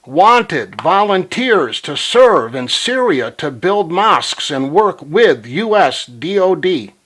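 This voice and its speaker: A man making a phone call to pitch his ideas, speaking earnestly and insistently as if reciting slogans. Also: sing-song, slow, fairly bright tone. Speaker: caller.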